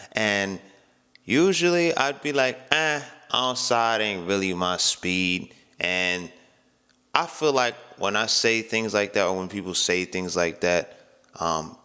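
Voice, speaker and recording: A man with a hoarse, worn-out voice, telling a story to the camera in a relaxed, slangy way full of asides. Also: sits low, big-room sound. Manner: laid-back slangy talk, many filler asides. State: hoarse, voice shot from yelling. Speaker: vlogger.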